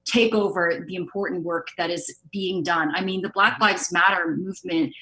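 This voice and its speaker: A woman's voice: fairly low pitch, normal speed, sharp tone, rough recording. A woman speaking emphatically and casually as a panelist in an impassioned discussion. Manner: emphatic, outspoken, casual swearing.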